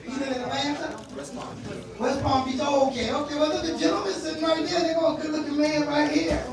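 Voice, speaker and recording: A woman comedian from South Carolina with a deep voice, doing a loud, punchy stand-up set and working the crowd. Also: fairly even intonation, quick pace, far from the mic. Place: comedy club stage. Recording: echoey live venue, mic heard from afar, crowd laughter. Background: audience laughing.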